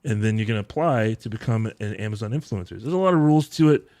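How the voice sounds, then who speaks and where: A man in his thirties hosting a live tech-deals stream from his home setup, chatting in a relaxed, rambling way with frequent chuckles into a close boom mic. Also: fast talker, no room sound. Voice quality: deep voice. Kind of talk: casual live-stream chat. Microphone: boom mic close to mouth, headphones on.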